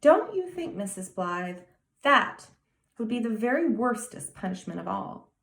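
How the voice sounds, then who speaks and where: A woman's voice: a little high, very animated, a little slow, vocal fry, fairly bright tone, reverberant, heavily acted. A woman voicing a serious, earnest small boy of nearly ten in a storybook reading. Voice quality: grave, emphatic childlike delivery.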